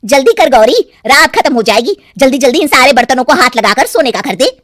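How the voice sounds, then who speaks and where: Lady playing a bossy greedy village saas in a cartoon, high voice, pushy and scolding. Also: lively intonation, brisk, fairly bright tone, dry recording, clean studio sound. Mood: angry, bossy. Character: greedy, bossy middle-aged village mother-in-law.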